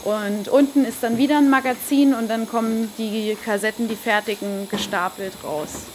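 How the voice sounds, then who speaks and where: A woman's voice: fairly high pitch, steady tone, moderate pace. A woman casually explaining manufacturing work on a tour of a small production room. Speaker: cassette production worker, interviewee. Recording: hissy background noise of production room. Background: hiss and room noise.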